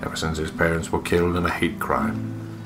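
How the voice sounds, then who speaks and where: Deep-voiced man doing game commentary. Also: completely flat delivery, a little fast, over music. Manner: joking, sweary asides. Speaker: co-host of a let's play, reading the on-screen text aloud. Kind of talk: casual game commentary and read-aloud narration.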